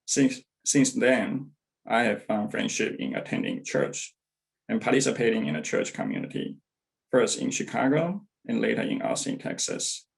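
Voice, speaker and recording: A man's voice: medium pitch, wildly swooping pitch, creaky, poor audio. A man in his thirties from Taiwan, reading a church testimonial carefully over a video call, with a few hesitations. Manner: careful, measured delivery with some hesitations. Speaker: church member giving testimonial.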